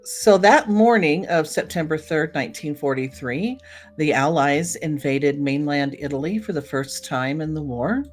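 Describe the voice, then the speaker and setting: A woman hosting a solo podcast in a casual, chatty way, talking directly to her listeners. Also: sits low. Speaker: podcast host. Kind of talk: casual solo podcast commentary on old time radio.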